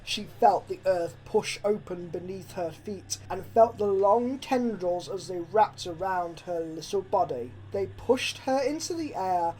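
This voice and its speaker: A woman reading a horror story aloud as dramatic, expressive narration with a suspenseful delivery. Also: mid-range voice, a little slow, hardly any pauses, poor audio. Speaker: fan fiction narrator. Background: background music.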